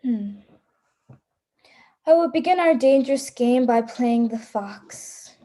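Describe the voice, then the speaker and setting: A woman's voice: a little high, animated, takes it slowly, dark tone. A woman playing a frightened but resolute hunted heroine, narrating a radio play in a dramatic, suspenseful way.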